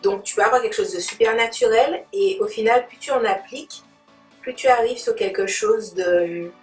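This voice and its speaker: A woman's voice: on the high side, moderate pace. A woman giving a casual makeup tutorial, chatting playfully in slang and addressing viewers like friends. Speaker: beauty vlogger demonstrating makeup. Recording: roomy, distant mic, music behind.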